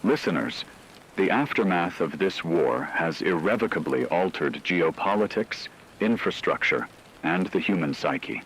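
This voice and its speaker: A man playing a solemn radio anchor, reading a scripted wartime news broadcast in a measured, formal way. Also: low voice, animated, fairly bright tone, slight background noise, rough recording. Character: solemn male radio anchor reporting a world war.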